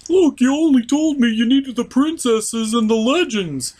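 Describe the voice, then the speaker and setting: A man voicing a reluctant, defensive female cartoon pony in a strained husky falsetto for a puppet show skit. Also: animated, measured pace, darkish tone, full character voice. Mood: indignant.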